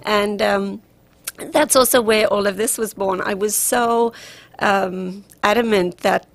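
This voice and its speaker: South African woman with creaky voice. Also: mid-range voice, fairly even intonation, slow. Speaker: guest, relationship coach. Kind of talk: personal storytelling in an interview.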